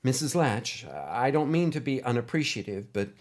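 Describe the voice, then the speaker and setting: A man voicing a polite, hesitant man in his thirties, halting and apologetic, in a story. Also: mid-range voice, very animated, pitched above the performer's own voice, natural voice. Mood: sheepish.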